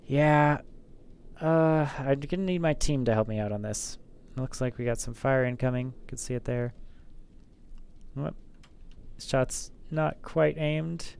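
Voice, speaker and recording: Man, gravelly, smooth resonant voice, casual gameplay commentary, rambling. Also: medium pitch, slow pace, long pauses, darkish tone. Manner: rambling, thinking aloud with fillers. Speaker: streamer.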